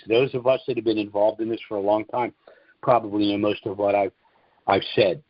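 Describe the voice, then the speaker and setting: A man with a fairly low voice speaks through dull, somewhat lo-fi conference call audio. He hosts the call as an informal briefing, explaining things in a plain-spoken way and freely giving his opinions. The sound is dry, with little room around the voice. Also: no echo. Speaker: host of an investor conference call. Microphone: conference call line.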